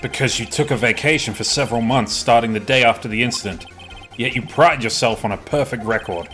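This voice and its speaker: A man's voice: medium pitch, a little fast, bright, forceful tone, soft background music, lightly acted. A man acting as an earnest young defense attorney in a video game fan dub, hesitant and nervous. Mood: resolute.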